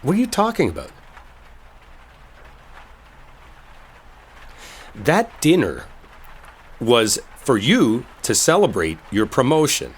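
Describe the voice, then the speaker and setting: A man's voice: medium pitch, husky. A man playing an exasperated, defensive coworker who is secretly smitten, in an emotional roleplay audio drama. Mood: defensive, annoyed. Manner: emotional, pleading, emphatic, conversational. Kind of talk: roleplay audio drama, intimate one-sided dialogue.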